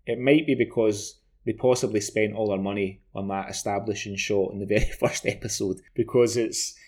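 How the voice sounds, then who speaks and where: A man in his forties with a Glaswegian Scottish accent, chatting casually as a podcast co-host in a rambling, halting way. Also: lowish voice, fairly even intonation, darkish tone, breathy edge. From Glasgow, Scotland. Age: 40s. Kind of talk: casual discussion of television.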